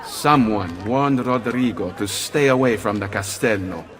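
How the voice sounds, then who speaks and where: An actor playing a grave, suspicious leader of thieves in a video game, resolute, with an Italian accent. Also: male voice, lowish voice, unhurried, fairly bright tone. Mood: guarded. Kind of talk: dramatic video game cutscene acting.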